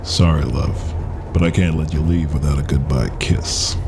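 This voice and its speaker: An actor playing a sly, taunting rival agent and gem hunter in an audio drama, mocking and suave. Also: male voice, very deep voice, steady tone, normal speed, background noise. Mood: smooth, taunting. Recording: loud music bed under dialogue.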